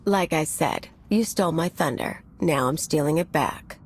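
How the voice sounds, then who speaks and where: A woman's voice: deep voice, normal speed, a little mellow, slight background noise. An actress playing a brash, sarcastic female anarchist bomber in a video game, with a blunt, irreverent manner. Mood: brusque, sardonic.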